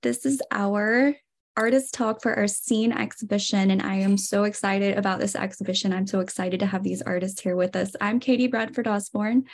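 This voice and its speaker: A woman's voice: medium pitch, steady tone, normal speed. Enthusiastic woman hosting an online talk. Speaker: gallery curator and host. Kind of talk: welcoming introduction, reading artist bios. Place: small room at home. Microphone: video call.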